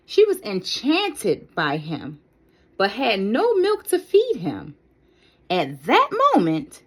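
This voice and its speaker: A woman reading a children's Bible story aloud as a storyteller, with deliberate, careful and expressive phrasing. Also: on the high side, husky.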